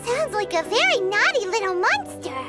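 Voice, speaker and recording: Squeaky, swooping tiny fairy companion. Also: female voice, big pitch swings, moderate pace, loud music behind the voice. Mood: wary. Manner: refers to self in third person, exclamatory. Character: tiny childlike floating fairy companion, excitable and earnest. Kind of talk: animated video game voice acting.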